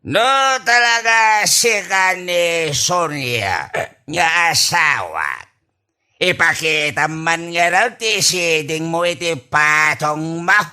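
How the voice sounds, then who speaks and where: A man's voice: on the high side, lively intonation, slow, bright, forceful tone, smooth, heavily acted. A man playing a drunken, lecherous older husband with a slurred, rambling delivery and an Ilocano accent in a comedy radio drama. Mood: belligerent.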